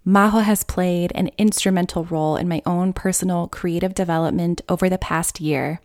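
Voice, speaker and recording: A woman's voice: fairly low pitch, normal speed, no room sound. A woman hosting a podcast, reading an upbeat introduction and then chatting casually with her guest over a video call. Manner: warm gushing intro read, then chatty and relaxed. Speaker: podcast host.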